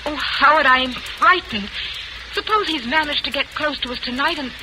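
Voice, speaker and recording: A woman's voice: high-pitched, normal speed, natural voice. A woman playing a haunted, middle-aged retired actress, intense and theatrical, in an old radio drama. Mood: dread. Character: haunted, fearful retired great actress, wife. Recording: noisy old radio recording.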